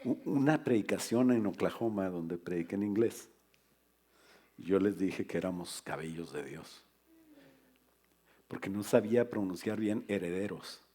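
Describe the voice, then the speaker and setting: A husky, lower-voiced man preaches with animated energy, joking with the congregation and then pressing his points home. He is a middle-aged pastor speaking in a church. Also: unhurried, long pauses. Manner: lively preaching with humor and asides to the congregation. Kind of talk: sermon.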